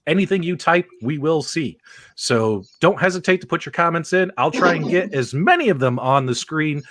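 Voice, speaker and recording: A man's voice: on the high side, lively intonation, normal speed, fairly bright tone, no room sound. An enthusiastic man hosting a live video game talk show, repeating himself for emphasis. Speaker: show host.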